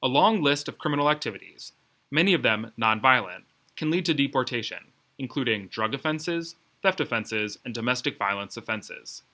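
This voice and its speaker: Bright-voiced man presenting a lesson. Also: medium pitch, lively intonation, moderate pace. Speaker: presenter of an educational video. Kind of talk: scripted informational narration.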